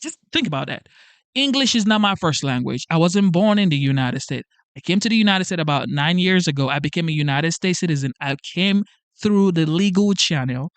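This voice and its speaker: A man's voice: fairly high pitch, lively intonation, brisk. A man with a somewhat raised voice delivers a motivational talk straight to camera. He urges and repeats himself like a preacher. The sound is close and dry, with no room echo. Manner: emphatic, repetitive, preachy pep talk. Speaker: channel host. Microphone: facing a camera.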